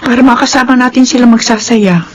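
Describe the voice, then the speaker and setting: An actress in a Filipino radio drama playing a kindly but sorrowful employer who speaks gently. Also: female voice, on the high side, fast, soft background music, lightly acted. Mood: gentle, touched. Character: kindly, sorrowful female employer with a guilty past.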